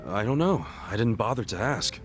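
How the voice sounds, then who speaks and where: A man voicing a brash, warm-hearted, impulsive and loyal ex-yakuza in a video game dub. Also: mid-range voice, animated, normal speed, faint music behind, lightly acted. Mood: stubborn resolve. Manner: informal, blunt, eager.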